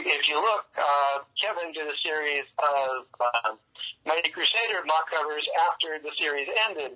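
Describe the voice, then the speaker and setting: A man speaking casually as an interview guest, explaining things in a halting, rambling way over a telephone line. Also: a little high, sharp tone. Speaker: interview guest, comics writer and fan club organizer.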